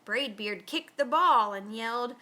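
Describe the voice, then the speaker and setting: High, very animated woman storyteller. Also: measured pace. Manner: dramatic, expressive delivery. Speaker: children's storybook reader. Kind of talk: animated read-aloud storytelling for children.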